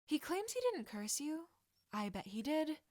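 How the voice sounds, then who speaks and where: A woman's voice: sits high, big pitch swings, a little slow, a little mellow, voice pushed up. Woman playing a confident, caring teenage witch. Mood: casual. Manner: firm, casual, non-judgmental. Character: confident teenage witch girl, caring, bossy, quick-witted.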